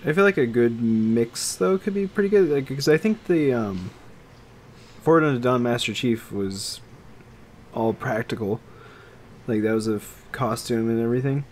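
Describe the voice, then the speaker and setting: A man's voice: mid-range voice, moderate pace, slight background noise. A man co-hosting a podcast, talking in casual, conversational chat. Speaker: podcast co-host.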